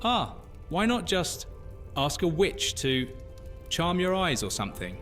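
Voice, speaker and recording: A man acts as a chatty young half-witch in a fantasy audio drama. The voice is lightly high and very lively, full of curiosity. Quiet music runs underneath. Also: a little slow. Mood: curious. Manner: inquisitive, informal, animated.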